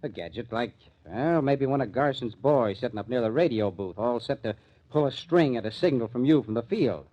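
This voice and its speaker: An actor playing a confident, wisecracking American police lieutenant in hard-boiled old-time radio crime drama. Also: male voice, mid-range voice, expressive, normal speed, close to an everyday voice. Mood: sardonic, explaining. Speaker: police detective. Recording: dull vintage radio recording.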